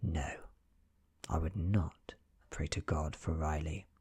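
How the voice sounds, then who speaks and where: A British man reading a story in a soft-spoken, hushed and breathy ASMR style, measured and deliberate. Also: extremely low pitch, fairly even intonation. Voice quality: breathy, whispery. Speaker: ASMR narrator reading an audiobook.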